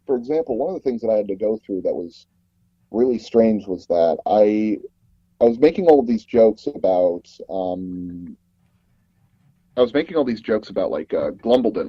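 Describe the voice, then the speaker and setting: A man being interviewed as a guest, telling personal anecdotes in a rambling, halting way and often circling back to rephrase. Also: on the low side, warm tone, slightly rough, dry recording, highs cut off. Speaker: guest audio drama producer and actor.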